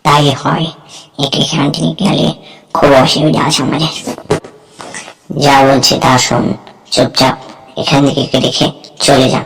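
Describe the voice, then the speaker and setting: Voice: medium pitch, fairly even intonation, a little slow, vocal fry, long pauses, a bit bright, poor audio. A performer voicing a bullying, bargaining jungle gang boss in amateur cartoon dialogue. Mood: menacing.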